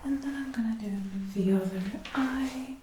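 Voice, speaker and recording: A woman doing soft-spoken ASMR roleplay, murmuring and whispering up close into a binaural microphone. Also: high voice, moderate pace, background noise. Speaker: ASMR creator acting out a personal attention measuring roleplay. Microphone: close binaural microphone, ear to ear.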